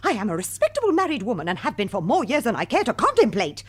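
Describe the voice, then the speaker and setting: An actress playing a testy, respectable British wife in a radio comedy drama, speaking in an arch, precise, wry manner. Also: female voice, on the high side, huge pitch swings, fast, slightly rough, full character voice. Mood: outraged, haughty. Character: testy, respectable, long-married middle-class wife.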